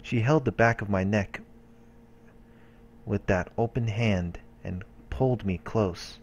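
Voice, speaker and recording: A man's voice: lowish voice, slow pace, muffled recording. A man hosting a let's play, reading a visual novel's narration aloud.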